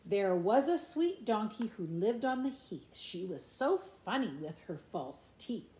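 A middle-aged woman reading a children's picture book aloud in an expressive, playful, sing-song way, with asides about the pictures. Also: mid-range voice, unhurried. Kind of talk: animated children's storybook read-aloud with asides. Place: indoors in a room at home. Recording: echoey room.

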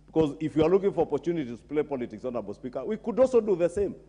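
A man's voice: a little high, very animated, very fast, dark tone, breathy edge, long reverb tail. A middle-aged Kenyan man, a member of parliament, making a combative, accusing speech in debate. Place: large parliament chamber. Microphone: desk microphone.

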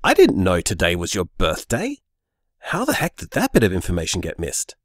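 Low-voiced, expressive boyfriend played by a man. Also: moderate pace, no room sound, clean studio sound, exaggerated voice. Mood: wry, affectionate. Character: easygoing, sceptical young boyfriend, puzzled and affectionate. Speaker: podcast drama actor. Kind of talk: naturalistic audio drama, voicemail monologue.

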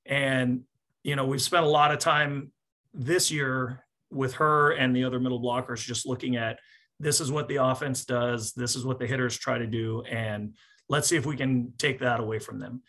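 A man in his forties, a coach explaining things in a measured way at a post-match press conference, speaking on a video call. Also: medium pitch, steady tone, pushed and bright. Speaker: volleyball coach. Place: small indoor office, on a video call.

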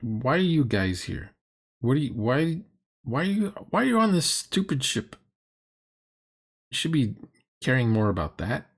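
Husky, exasperated man. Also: medium pitch, very animated, a little slow, pauses often. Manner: exasperated, sarcastic, blunt. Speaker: critic reacting to a tabletop roleplaying video. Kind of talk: unscripted reaction commentary.

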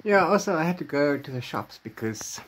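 A man's voice: fairly high pitch, expressive, moderate pace, fairly bright tone, slightly rough. A man in his forties chatting casually to camera as a book vlogger, playful and rambling, often correcting himself, recorded at arm's length in a room at home. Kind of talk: casual unscripted chat to camera. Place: small room at home with bookshelves.

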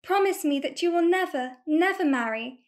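A woman's voice: very high-pitched, lively intonation, normal speed. A woman playing an effusive, lovelorn young lady with florid, formal delivery in a radio-play farce. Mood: pleading.

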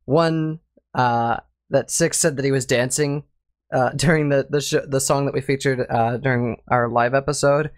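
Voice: medium pitch, steady tone, normal speed, no echo. A podcast host who rambles loosely, laughs a lot and keeps the conversation going. The voice is very dry and close, with no room sound at all. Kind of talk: casual podcast chat.

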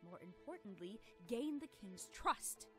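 A woman's voice: medium pitch, lively intonation, a little slow, soft background music, close to an everyday voice. A woman voicing a villainous pony mother in a cartoon, scheming, mocking and theatrically domineering. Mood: commanding.

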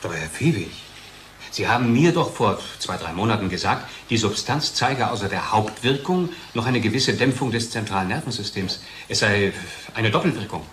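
Insistent, low-voiced scientist. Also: male voice, expressive, moderate pace, a bit bright. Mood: challenging. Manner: pointed, insistent. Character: insistent male laboratory scientist, argumentative and technical. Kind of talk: radio play dialogue. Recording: faint background noise.

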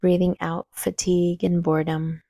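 A woman leading a guided meditation with calm, soothing, unhurried instruction. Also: on the low side, creaky, no room sound, clean studio sound.

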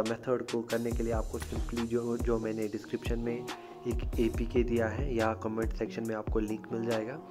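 A man with an Indian accent and a mid-pitched voice talks over clearly audible music. He hosts a casual how-to voice-over, informal in his phrasing, and often punctuates his steps with a little confirming tag. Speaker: YouTube tech tutorial host. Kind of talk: casual screen-recording tutorial voice-over.

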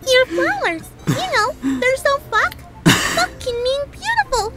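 A woman voicing a bubbly, naive young female cartoon pony with a squeaky, giggly, excitable delivery. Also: unhurried, exaggerated voice. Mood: cheerful. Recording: loud music behind the voice.

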